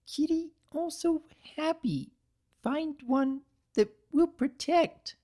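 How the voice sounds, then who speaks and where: Tiny living gingerbread girl for a cartoon story: very high, childlike, timid, halting. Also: dark tone. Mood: wistful, sad. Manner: simple broken third-person speech, halting.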